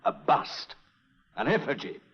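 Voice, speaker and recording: An actor playing a bitter, defeated male villain, a colonel, in a vintage radio drama, speaking in a slow, spiteful way. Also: close to an everyday voice. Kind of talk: 1940s radio acting. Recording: muffled vintage radio recording.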